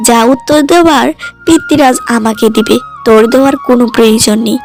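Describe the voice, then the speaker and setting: A woman's voice: on the high side, brisk, faint music behind, lightly acted. A woman voicing a righteous, indignant wife in a cartoon moral story, scolding with sarcasm. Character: indignant, righteous elder sister-in-law, devoted wife.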